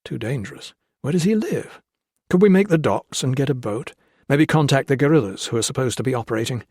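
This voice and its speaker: A man voicing an anxious young officer who fires off rapid, worried questions. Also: mid-range voice, animated, darkish tone, breathy edge, no echo, studio quality. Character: anxious young British officer, jittery and self-scolding.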